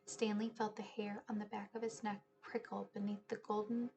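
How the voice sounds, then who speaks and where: A woman in her thirties reading a children's story aloud in an expressive, animated way, indoors on a webcam. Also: mid-range voice, normal speed, gravelly. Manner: animated, hushing and swelling with the story. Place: small living room, indoors. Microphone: webcam at desk distance.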